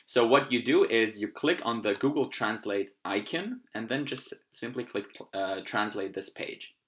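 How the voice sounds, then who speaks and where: A young man from Austria, a German native speaker with an Austrian German accent, gives an upbeat screen-recorded tutorial on language-learning software. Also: on the low side, expressive, measured pace. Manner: upbeat, explanatory, occasional fillers.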